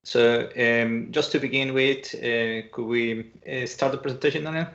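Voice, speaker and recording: A man's voice: medium pitch, fairly even intonation, normal speed, fairly bright tone. A man from Colombia giving an online conference talk, hesitant, with many fillers and restarts, over muffled video-call audio. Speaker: presenter.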